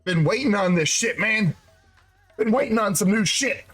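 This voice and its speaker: A man's voice: high-pitched, expressive, moderate pace, fairly bright tone, faint music behind. A congested man chatting casually on his game stream, rambling, laughing and swearing freely. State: congested nose and throat. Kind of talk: casual gaming stream chat.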